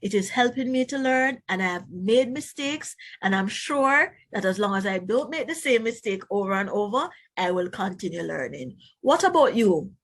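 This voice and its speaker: A woman teacher reading a children's book aloud, pausing to explain and put questions to young listeners. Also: medium pitch, expressive, a bit bright.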